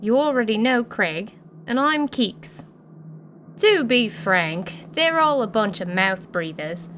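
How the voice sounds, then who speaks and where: A brusque goblin gang leader, acted by a woman in an audio drama. She speaks rather high and takes her time, blunt and cocky with a folksy turn. There is faint noise behind her. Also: animated, slow pace, a bit bright.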